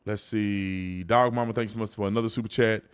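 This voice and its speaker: A man's voice: lowish voice, fairly even intonation, a little fast, clean tone. A middle-aged man hosting a live commentary show, chatting casually and colloquially to viewers and reading out their messages. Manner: conversational, colloquial, addressing viewers directly.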